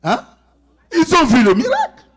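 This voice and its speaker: An older man with a Congolese accent preaching live in short phrases, exhorting and questioning his congregation with a gravelly, husky voice. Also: very high voice, wildly swooping pitch, normal speed. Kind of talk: live church sermon delivered in short phrases for interpreting. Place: church service.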